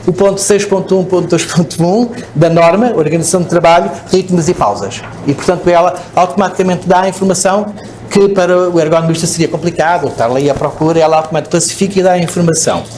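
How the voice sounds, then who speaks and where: Portuguese guy presenting at a conference, fairly high voice, keeps asking ok? as he explains. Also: fast talker, a little mellow, slight background noise. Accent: European Portuguese. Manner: explanatory, frequent "ok?" checks and restarts. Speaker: speaker at a conference. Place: conference hall.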